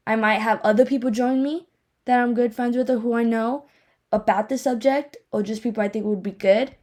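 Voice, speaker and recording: A woman's voice: a little high, fairly even intonation, fairly quick, a little mellow. A young woman podcast host with a speech impediment, chatting casually on her own in a candid, self-deprecating, rambling way. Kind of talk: casual solo chat, rambling. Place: home office.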